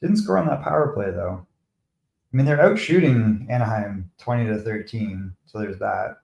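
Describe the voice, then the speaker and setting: A man's voice: on the low side, lively intonation, normal speed, gravelly, darkish tone, roomy sound, highs cut off. A man from Canada hosting a live hockey stream, commenting on the game and answering viewers in a chatty, defensive way. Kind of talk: casual live commentary, replying to chat.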